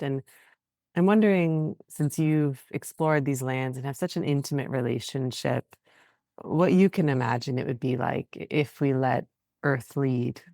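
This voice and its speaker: An interview host speaking in a reflective long-form conversation, with contemplative, deliberate phrasing. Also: mid-range voice, darkish tone, no echo, studio quality.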